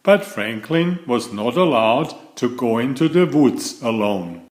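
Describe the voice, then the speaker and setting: A man reading a bedtime picture book aloud in an expressive, deliberate storybook manner. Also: medium pitch. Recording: echoey room sound.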